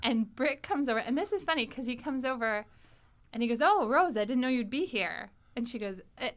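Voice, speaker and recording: A woman with a fairly high voice hosts a casual group chat. She is animated and talkative, readily echoing agreement as she goes. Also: fairly quick. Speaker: podcast host.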